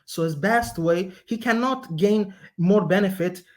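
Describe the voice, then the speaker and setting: High-voiced caller, halting non-native English. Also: male voice. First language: not English. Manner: halting, with many restarts and fillers. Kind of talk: arguing on a call-in stream.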